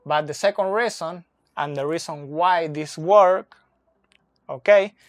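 A man in his thirties whose first language is not English, explaining soccer tactics slowly at a whiteboard, recorded on a phone camera indoors. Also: fairly high pitch, no room sound. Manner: slow, deliberate, with fillers and restarts, pointing at a board. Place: indoor room with a brick wall and whiteboard. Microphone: phone camera, close and moving.

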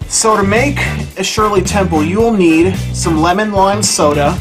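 A man's voice: high voice, normal speed, over music. A young man casually presenting a how-to cooking video to the camera as its host. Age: young adult. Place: home kitchen, indoors.

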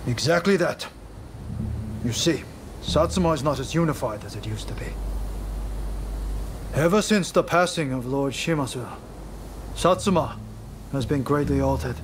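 A man voicing an earnest, composed samurai retainer in a video game, speaking in a measured, formal, solemn way. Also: a little high, expressive, slight background noise, natural voice. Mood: solemn, explanatory. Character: earnest, composed male samurai retainer, loyal to his comrade. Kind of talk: dramatic video game voice acting.

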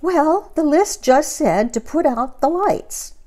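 A woman's voice: sits high, animated, slow, dark tone, voice pushed up. A woman voicing a cheerful, earnest, literal-minded housekeeper in a storybook reading, innocent and eager to please.

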